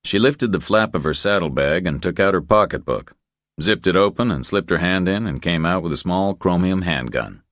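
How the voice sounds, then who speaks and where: A man with an exceptionally low, deep voice narrates a thriller audiobook. His reading is measured and deliberate, and the recording is dry and close. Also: fairly bright tone, dry recording. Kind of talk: scripted narration of crime thriller.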